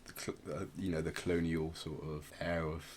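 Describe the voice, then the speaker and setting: A man with a very deep voice hosts a casual music podcast. He explains things in a hesitant, roundabout way, over noticeable noise. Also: flat delivery, fairly quick, a little mellow. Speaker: podcast host.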